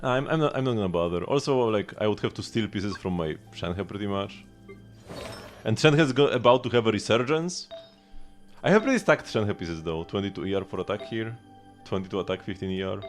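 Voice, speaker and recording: A man's voice: low-pitched, a little fast. A man giving casual live gameplay commentary as a streamer, rambling and thinking aloud. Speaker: game livestreamer. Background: game music.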